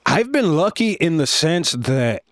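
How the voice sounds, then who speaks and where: A man in his thirties with a loud, deep voice, speaking bluntly and casually as an interview guest. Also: sing-song, unhurried, no echo, studio quality. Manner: blunt and frank, swears casually. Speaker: comedy club co-owner, guest.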